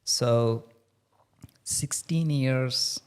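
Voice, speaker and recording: A man hosting a podcast, asking questions in a slow, measured way. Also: mid-range voice, a little mellow, smooth. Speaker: podcast host. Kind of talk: podcast interview.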